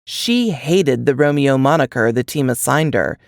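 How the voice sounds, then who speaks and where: Animated woman narrating, very dry sound. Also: very deep voice, moderate pace, vocal fry, no room sound. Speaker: audiobook narrator. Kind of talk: scripted fiction narration.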